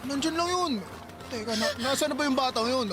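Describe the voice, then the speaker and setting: A man's voice: extremely high pitch, fairly quick, noisy background. A man playing a careless young father in a radio drama, protesting and making excuses in a whiny, evasive way. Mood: evasive, flustered.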